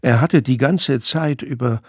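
A man's voice: mid-range voice, measured pace, husky, dry recording, studio quality. A man narrating a scripted radio drama.

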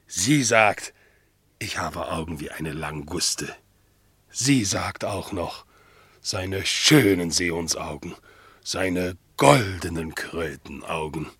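Low, husky, ponderous dog. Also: male voice, animated, slow, fairly bright tone, no room sound, heavily acted. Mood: naive, self-satisfied. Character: sedate, naive male dog with bulging eyes. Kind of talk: German radio play acting.

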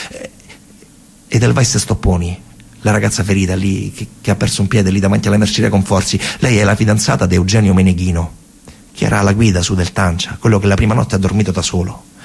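Gravelly, low-voiced Roman man telling a story. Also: a little mellow. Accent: central Italian, Roman. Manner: colloquial, emphatic, with pauses and repetitions. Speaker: stage actor and narrator. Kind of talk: dramatic theatrical storytelling monologue.

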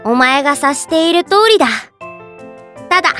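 A woman's voice: high-pitched, normal speed, sharp tone, soft background music. A woman playing a commanding female guard chief in an anime drama, with blunt, old-fashioned authoritative speech. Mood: composed, dignified. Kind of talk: Japanese anime drama CD acting.

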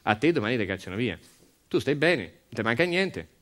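A man's voice: medium pitch, very animated, brisk, fairly bright tone. A man playing a blunt, cynical factory worker in a monologue, talking colloquially and insistently with a Roman accent. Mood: grim, warning. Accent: central Italian, Roman.

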